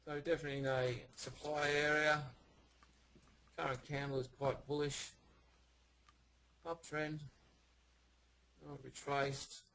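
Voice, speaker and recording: A man with a hoarse, fading voice, giving live commentary on currency charts in short, clipped phrases. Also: a little high, level tone, slow pace, pauses often, bright, forceful tone, a little hiss or hum, rough recording. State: hoarse, losing his voice. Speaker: trading room host. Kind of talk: live market analysis over charts.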